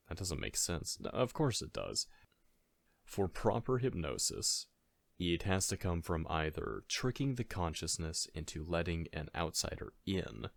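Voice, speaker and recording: A man playing a caring, goofy best friend in a soft-spoken ASMR audio roleplay, talking in a halting, gently chiding, conversational way. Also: low voice, vocal fry, no room sound, full character voice.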